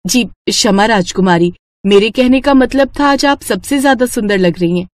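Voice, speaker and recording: A woman voicing a timid maidservant in a cartoon story, deferential and hesitant as she flatters her mistress. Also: on the high side, normal speed, no room sound, studio quality, natural voice. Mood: flattering.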